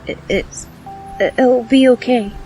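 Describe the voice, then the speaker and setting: A woman's voice: fairly high pitch, measured pace, mellow, faint music behind, lightly acted. A woman playing a wary, cheeky twelve-year-old homeless girl, a younger sister, in an audio drama. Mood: hesitant, reassuring.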